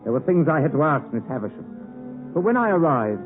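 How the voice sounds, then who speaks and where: An actor playing an earnest, proper young gentleman in a 1950s radio drama, speaking formally and dramatically. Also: a little high, big pitch swings, brisk, faint music behind. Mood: grave. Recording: muffled narrowband 1950s radio broadcast recording.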